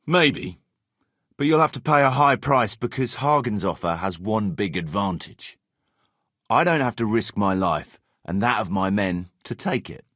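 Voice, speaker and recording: Sardonic mercenary captain, lively pitch swings. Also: male voice, a little slow, no room sound, clean studio sound. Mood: sardonic lecturing. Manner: sardonic, pragmatic, patronizing. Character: wary, self-serving male mercenary captain in a medieval world. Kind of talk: video game character dialogue.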